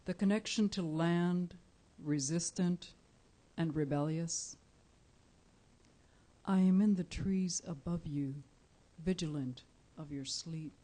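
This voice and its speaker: Slow, mellow woman poet. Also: on the low side. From Cuba. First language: Spanish. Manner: measured, deliberate, pausing often with soft fillers. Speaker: featured poet. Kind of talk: live poetry reading. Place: indoor live reading event.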